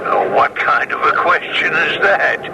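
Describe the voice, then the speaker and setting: A man's voice: on the high side, sing-song, measured pace, creaky, exaggerated voice. An actor playing a breezy, mocking front man in a radio drama, drawling and dismissive. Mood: mocking, casual. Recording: dull, very noisy radio recording.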